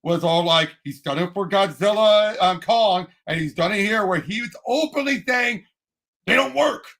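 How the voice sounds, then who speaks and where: A man's voice: high-pitched, moderate pace, a bit bright. A man talking about movies as a podcast panelist, emphatic and eagerly repeating himself. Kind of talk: casual movie discussion.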